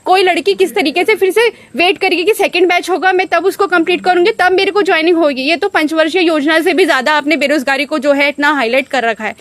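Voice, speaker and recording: A woman's voice: very high voice, a bit bright, no echo. A woman in her twenties from Uttarakhand, a protesting trainee teacher, argues her case with indignation and urgency at an outdoor protest site. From Uttarakhand, India. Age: 20s. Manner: indignant, pleading, rapid argument. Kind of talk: impassioned street interview.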